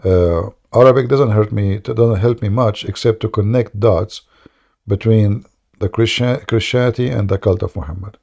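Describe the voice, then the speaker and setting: Gravelly low-voiced man lecturing earnestly. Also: moderate pace, dark tone. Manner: earnest, lecturing, speaks English as a second language. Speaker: host. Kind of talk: casual chat answering a caller.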